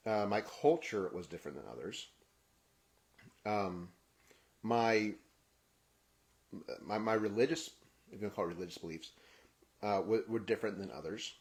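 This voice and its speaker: Brief: man raised in Kenya, low voice, reflective solo talk, halting restarts, soft trailing endings. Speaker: podcast host. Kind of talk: personal reflective monologue.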